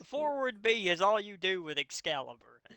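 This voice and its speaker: A man's voice: sits high, pushed and bright. A man hosting a comic fanfiction reading, switching between narration and mocking, sweary casual commentary. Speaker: host reading a story aloud.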